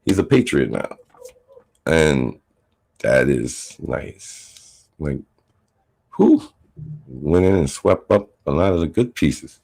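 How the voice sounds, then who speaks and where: A very low-pitched man with a rough, gravelly edge hosts a solo sports podcast. He talks slowly and unhurriedly, wandering through his points with fillers and restarts. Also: lively intonation, a little mellow. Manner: rambling, many fillers and restarts. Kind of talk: casual solo sports commentary.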